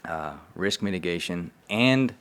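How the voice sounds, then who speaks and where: Male, lowish pitch, conversational delivery, podcast discussion, professional talk. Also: animated, measured pace, fairly bright tone, smooth. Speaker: economic development official, podcast speaker.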